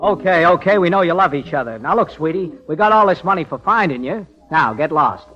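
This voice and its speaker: A man's voice: fairly high pitch, lively intonation, brisk, lo-fi sound, over music, close to an everyday voice. An actor playing a brash, wisecracking con man posing as a detective in old-time radio comedy. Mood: brisk. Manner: glib, wisecracking, teasing. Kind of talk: 1940s-50s radio musical comedy acting.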